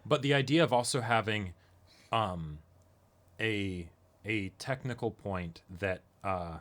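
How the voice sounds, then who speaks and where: A man's voice: on the low side, takes it slowly, a bit bright. A man co-hosting a casual, analytical discussion, often checking in with his listener as he goes. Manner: checks in often with "right?" and "you know". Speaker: co-host of an anime reaction show.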